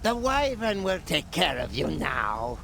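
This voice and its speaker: A man voicing a scheming, taunting goblin villain with a gravelly voice in a video game, drawing out crude threats. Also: on the high side, big pitch swings, noisy background, exaggerated voice. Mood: gloating. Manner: taunting, drawn-out threats in broken grammar. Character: scheming male goblin villain, gleefully menacing, crude grammar. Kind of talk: video game cutscene acting.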